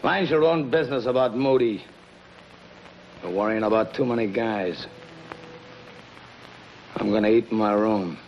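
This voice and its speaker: An actor playing a hard, possessive middle-aged killer on the run, terse and intense, in a 1950s television crime melodrama. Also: male voice, lowish voice, measured pace, long pauses. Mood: menacing. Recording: muffled, noisy 1950s television soundtrack.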